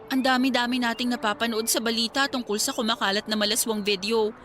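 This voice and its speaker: High, bright, anxious young Filipina girlfriend played by an actress. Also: fairly even intonation, fast talker, a little hiss or hum, close to an everyday voice. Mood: worried, reluctant. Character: anxious, submissive young college-age girlfriend. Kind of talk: radio drama acting.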